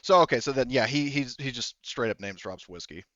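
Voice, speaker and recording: A man improvising as game master of a tabletop roleplaying game, narrating haltingly and relaying what characters say. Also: medium pitch, lively intonation, a bit bright, dry recording, dull audio. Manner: halting, speaks for characters in indirect speech.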